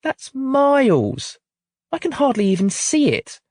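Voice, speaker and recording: A man's voice: extremely high pitch, huge pitch swings, unhurried, darkish tone, no echo, clean studio sound, voice pushed up, full character voice. A man reading aloud and voicing a young girl who is anxious and apologetic. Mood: pleading. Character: little girl, anxious and sorry.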